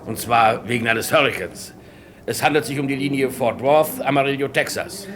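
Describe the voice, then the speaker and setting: An actor playing a courteous, formal and helpful airport director in a German radio crime drama. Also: male voice, medium pitch, moderate pace, a crowd in the background, natural voice. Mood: matter-of-fact. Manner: formal, obliging. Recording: clear background noise and ambience.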